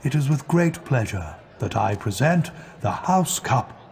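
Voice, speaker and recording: A kindly old wizard headmaster, speaks slowly and with great dignity. Every phrase is measured and ceremonial, suited to a formal address in a fantasy game. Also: male voice, mid-range voice, very animated, gravelly, a little mellow. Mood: stately, pleased. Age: older. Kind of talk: formal speech in a video game.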